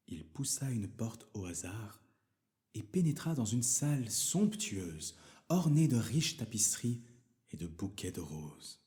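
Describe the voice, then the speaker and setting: Conteur masculin à la voix douce et feutrée. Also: male voice, lowish voice. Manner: theatrical, expressive storytelling. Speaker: storyteller. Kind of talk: scripted fairy-tale narration for children.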